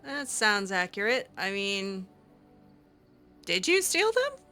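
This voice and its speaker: A woman's voice: mid-range voice, big pitch swings, slow pace, sharp tone, faint music behind. A woman improvising conversational dialogue as she roleplays through a video game, chatty and a little hesitant. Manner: hesitant, chatty, reacting with short interjections. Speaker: roleplay gamer, channel host.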